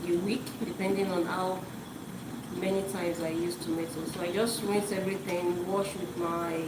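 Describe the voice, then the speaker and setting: A woman's voice: on the low side, little pitch movement, normal speed. Chatty woman cooking live in an echoey kitchen. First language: Yoruba. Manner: chatty, repeats words, talks while cooking. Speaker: cooking show host. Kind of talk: live-streamed cooking demonstration. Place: home kitchen. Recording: echoey kitchen with loud noise.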